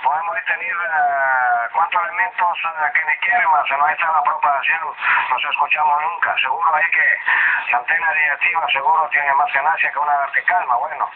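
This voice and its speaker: A man's voice: fairly high pitch, fairly even intonation, brisk. A man chatting casually as a radio operator, heard over a CB radio link. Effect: heard over shortwave CB radio. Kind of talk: casual radio contact chat. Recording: narrow radio signal with static.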